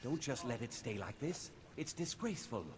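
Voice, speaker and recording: An actor playing an earnest, devout pilgrim in a fantasy video game, speaking formally and reverently. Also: male voice, medium pitch, animated, moderate pace, over music, lightly acted. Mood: pleading. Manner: formal, pleading, reverent speech. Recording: long hall reverb with clear background noise.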